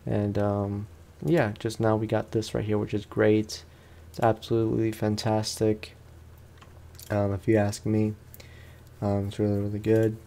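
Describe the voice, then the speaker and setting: A man's voice: lowish voice, completely flat delivery, measured pace, gravelly, dark tone. A man doing casual let's play commentary, talking in an informal, slangy way and often repeating his phrases. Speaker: gaming streamer.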